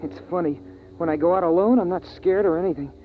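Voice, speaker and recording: A man's voice: sits high, quick pace, close to an everyday voice. Man playing an earnest boy, tinny old radio. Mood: reflective. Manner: plain, homespun, boyish phrasing. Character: earnest, wondering young boy narrating a bleak frozen world. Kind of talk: 1950s radio drama acting and first-person narration. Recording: tinny vintage radio recording with music.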